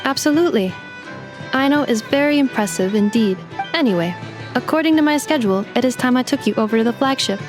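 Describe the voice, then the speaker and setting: A woman's voice: on the high side, expressive, brisk, lightly acted. A woman voicing a polite female robot in a video game, speaking in formal, exact, matter-of-fact phrasing. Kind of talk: game voice acting. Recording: game dialogue over background music.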